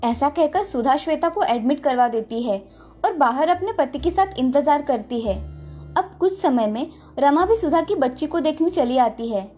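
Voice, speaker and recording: High-pitched woman storyteller. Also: lively intonation, moderate pace, dark tone. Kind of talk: scripted narration of a children's moral story.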